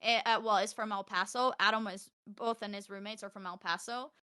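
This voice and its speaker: A young woman with a high, loud voice chatting casually as a podcast guest about her gaming life, outspoken and emphatic, swearing freely. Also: fairly even intonation, fairly quick, clear, resonant tone. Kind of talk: casual chat, animated anecdotes.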